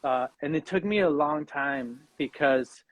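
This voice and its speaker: Male podcast guest, higher-pitched voice, earnest and halting, reflective talk, dry but slightly muffled sound. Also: no echo. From Mexican background. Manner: earnest, halting, frequent "you know" and "right?". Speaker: podcast guest, fatherhood coach. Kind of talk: reflective personal conversation.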